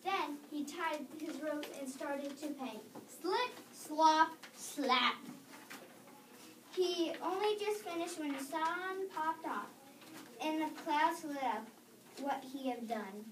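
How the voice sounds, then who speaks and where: Child reader, high voice, halting storybook delivery, captured from across an echoey room. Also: fairly bright tone. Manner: halting read-aloud with stumbles and corrections. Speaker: reader in a readers theater performance. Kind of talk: scripted story reading aloud. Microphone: distant microphone. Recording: roomy, distant microphone, noticeable background noise.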